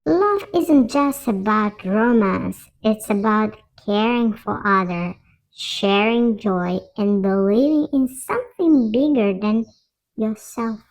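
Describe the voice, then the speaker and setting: Small wise frog, very slow, played by a woman. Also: mid-range voice, animated, low-quality recording. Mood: earnest, wise. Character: small wise talking frog, former prince. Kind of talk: children's fairy tale storytelling.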